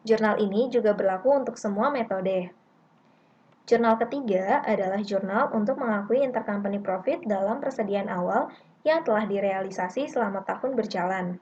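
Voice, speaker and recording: A young woman delivers scripted tutorial narration at a medium pitch. She is methodical and instructional. The recording is dull and lo-fi, with noticeable background noise. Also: moderate pace, a little mellow. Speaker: accounting lab tutor.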